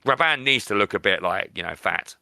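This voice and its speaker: A man's voice: lowish voice, lively intonation, fairly quick, vocal fry, sharp tone, no room sound, clean studio sound. A British man co-hosting a podcast, chatting casually about films in a blunt, opinionated, sweary way. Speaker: podcast co-host. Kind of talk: casual film discussion.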